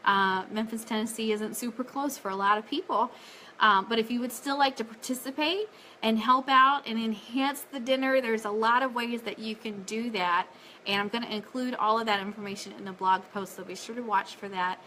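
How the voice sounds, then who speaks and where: A woman with a fairly high, very bright voice hosts a casual live stream. She is chatty and enthusiastic as she shares announcements with viewers. Faint noise sits behind her voice. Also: normal speed, low-quality recording. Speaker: host of a fan live-stream show.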